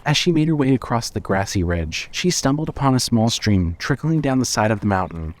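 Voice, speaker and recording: A man narrating scripted fiction as a storyteller, in a measured way. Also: mid-range voice, sing-song.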